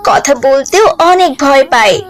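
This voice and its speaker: Actress as spoiled young girl, high pitch, snobbish, boastful, dismissive, children's cartoon. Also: animated, normal speed. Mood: smug. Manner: bragging, dismissive. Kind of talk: dubbed children's moral cartoon.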